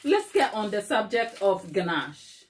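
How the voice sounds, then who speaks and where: A woman's voice: mid-range voice, sing-song, unhurried. A middle-aged woman from Ghana hosts a baking live stream. She is chatty and laughs easily, turning to her viewers often as she talks. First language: Twi. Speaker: cake decorating live-stream host. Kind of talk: casual live-stream chat and tutorial.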